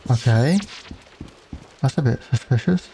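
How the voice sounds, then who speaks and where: Slow, mellow man musing over a game. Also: medium pitch, lively intonation, vocal fry, a little hiss or hum. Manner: musing aloud, wry. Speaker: let's play gamer. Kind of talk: casual game commentary.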